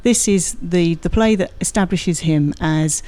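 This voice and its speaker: A woman's voice: fairly low pitch, unhurried, dark tone, no echo. A woman speaking as a guest expert in a studio interview, explaining in an articulate way and agreeing emphatically. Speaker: guest expert, university drama lecturer. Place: radio studio.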